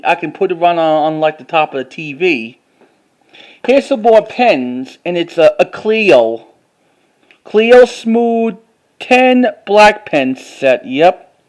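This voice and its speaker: A man with a halting, word-repeating way of talking, doing a casual show-and-tell like an enthusiastic collector. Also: sits high, a little mellow. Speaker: vlogger.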